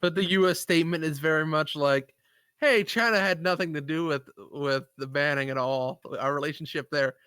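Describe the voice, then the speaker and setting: A man's voice: fairly high pitch, moderate pace, bright, forceful tone, clean tone, dry recording, clean studio sound. A man co-hosting a live stream, chatting casually about gaming news in a rambling way. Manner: rambling, lots of fillers and agreement. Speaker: podcast co-host. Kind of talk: casual chat.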